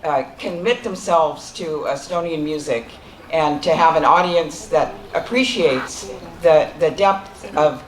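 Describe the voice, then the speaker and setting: A man giving closing remarks at a festival as an organizer, earnest and halting, with fillers and repeated words. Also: on the high side, fairly bright tone. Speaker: event organizer. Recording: echoey PA sound.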